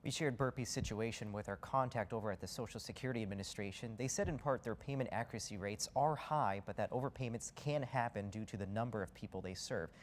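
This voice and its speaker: A man's voice: on the low side, fast, few pauses, slight background noise. A man reporting live from a TV newsroom in a conversational broadcast manner. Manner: conversational, clear broadcast delivery. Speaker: news reporter.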